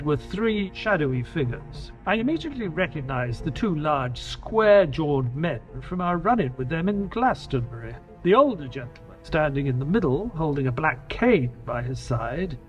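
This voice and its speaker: Male narrator as detective's earnest companion: dramatic scripted storytelling, lighter high register, expressive swings. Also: rough recording. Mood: suspenseful.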